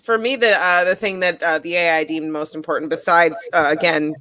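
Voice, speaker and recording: A woman's voice: fairly low pitch, steady tone, brisk, bright, forceful tone. A woman chatting casually in a group discussion.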